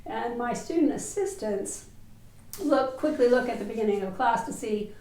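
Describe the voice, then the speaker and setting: Woman teacher w a cold, kinda high voice, lots of uhs, lapel mic. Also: lively intonation, normal speed, a little mellow, rough recording. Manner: chatty, with fillers and restarts. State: has a cold. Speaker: language teacher. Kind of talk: online teacher workshop. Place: indoors, seated in an office chair before a plain wall.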